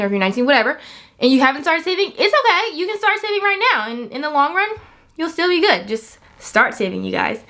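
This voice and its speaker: A woman's voice: on the high side, a bit bright. A woman of twenty-one talking casually to her viewers as a vlogger, in an upbeat, encouraging, chatty way with emphatic repetition. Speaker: vlogger hosting own channel. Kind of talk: casual chat to camera.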